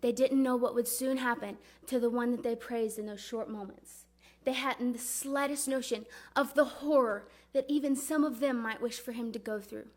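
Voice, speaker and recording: Woman acting as devout grieving mother, high voice, earnest, reverent, deliberate storytelling, dramatic religious monologue. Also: close to an everyday voice.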